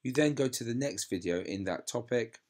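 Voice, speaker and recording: A man's voice: mid-range voice, normal speed, darkish tone. A male maths teacher with a cold, giving an upbeat, plainly explained screen-recorded walkthrough of a website. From England. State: has a cold.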